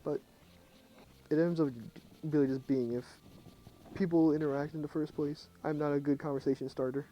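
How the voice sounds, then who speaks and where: A young man with a low voice, talking quietly and casually to his chat at night while he plays a game. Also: fairly even intonation, moderate pace, muffled recording. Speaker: streamer. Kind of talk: casual live game commentary. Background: faint game music.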